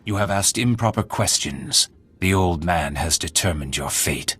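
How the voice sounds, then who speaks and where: An actor playing a menacing assassin in a video game, speaking in an ominous, formal way. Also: male voice, sits low, animated, a bit bright, faint music behind. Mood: cold menace. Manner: slow, ominous, formal phrasing. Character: menacing male assassin of a terrorist cell.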